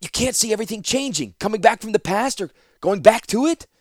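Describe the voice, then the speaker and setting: A man voicing a desperate young male ally who shouts urgent pleas in a storybook reading. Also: sits high, expressive, fairly quick, no room sound, clean studio sound, pitched above the performer's own voice. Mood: frantic shouting. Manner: shouting, pleading.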